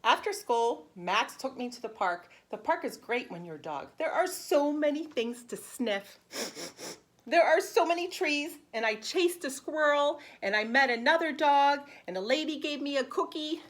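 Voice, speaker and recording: A woman preschool teacher reading a storybook aloud in an animated, simple, child-directed way with expressive emphasis. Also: high-pitched, normal speed.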